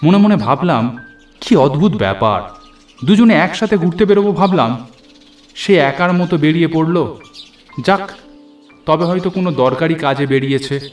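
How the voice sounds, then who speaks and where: A man's voice: a little high, lively intonation, normal speed, pauses often. A man narrating a scripted horror story in the first person, theatrical and suspenseful. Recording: echoey, music underneath.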